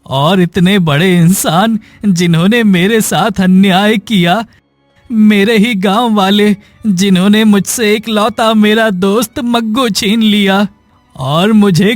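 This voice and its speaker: Man playing a high-pitched, plaintive poor villager. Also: takes it slowly, no room sound, soft background music. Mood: pained, pleading. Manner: plaintive, suffering. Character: poor sick village man, sorrowful, gentle, pleading. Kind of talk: cartoon moral story voice acting.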